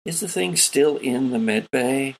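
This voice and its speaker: A man with a gravelly, husky voice, narrating a tabletop role-playing game as game master in an unhurried, descriptive way. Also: mid-range voice, expressive, a little hiss or hum.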